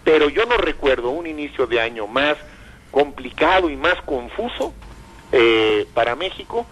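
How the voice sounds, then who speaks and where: An older man giving thoughtful commentary in a radio interview, pausing often and repeating words as he thinks. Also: on the high side, big pitch swings, a bit bright, a little hiss or hum, rough recording.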